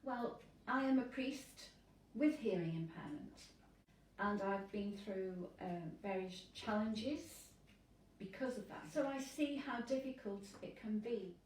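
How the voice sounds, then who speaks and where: A woman priest who lives with hearing loss, speaking earnestly and in measured phrases in an interview. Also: a little high, a little mellow, roomy sound, recorded from a distance, poor audio.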